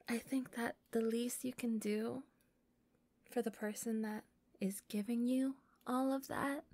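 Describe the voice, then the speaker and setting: A woman playing a shy, sweet, obsessively devoted young captor in an intimate audio roleplay, speaking softly and hesitantly, sometimes near a whisper. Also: fairly high pitch, vocal fry, dry recording, heavily acted. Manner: soft, hesitant, coaxing, breaking into whispers.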